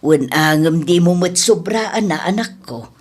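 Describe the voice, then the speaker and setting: A woman playing a caring, fretful mother in a radio drama, gently cautioning. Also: lowish voice, expressive, unhurried.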